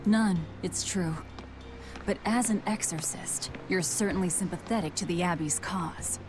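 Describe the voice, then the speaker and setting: A woman's voice: lowish voice, unhurried, over music, natural voice. An actress playing a tough, guarded young woman in a video game, blunt and commanding with a dry manner. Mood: stern.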